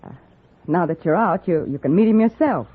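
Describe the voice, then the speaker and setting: An actress playing an anxious young sweetheart in a radio western, earnest and faltering. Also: female voice, lowish voice, expressive, a little fast, slightly rough. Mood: anxious, pleading. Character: anxious, hesitant young sweetheart of an outlaw soldier. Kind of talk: 1950s radio western acting. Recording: tinny old radio recording.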